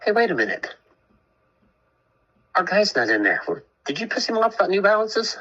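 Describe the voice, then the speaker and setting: A man's voice: high-pitched, big pitch swings, moderate pace, bright, forceful tone, poor audio. A man co-hosting a radio show while getting over a cold, chatting and telling stories with a laugh. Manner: chatty storyteller, laughs often. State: has a cold. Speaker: radio co-host. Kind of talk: casual on-air chat.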